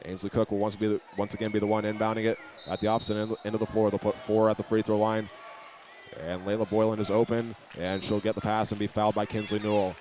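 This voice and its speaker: A man's voice: fairly low pitch, flat delivery, fast talker, darkish tone. A man calling live basketball play-by-play from an indoor arena, occasionally stumbling over or repeating a word. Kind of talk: live sports commentary. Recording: noisy gym ambience behind voice.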